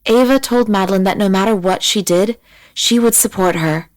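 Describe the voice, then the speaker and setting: A woman narrating a scripted audiobook in a measured, dramatic manner. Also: medium pitch, dry recording.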